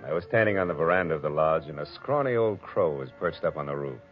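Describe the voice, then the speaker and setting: An actor playing a tough, wisecracking American private detective in 1940s radio style. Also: male voice, very deep voice, animated, fast. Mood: brooding, wry. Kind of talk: 1940s radio detective acting, hardboiled narration. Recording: dull vintage radio recording, music behind.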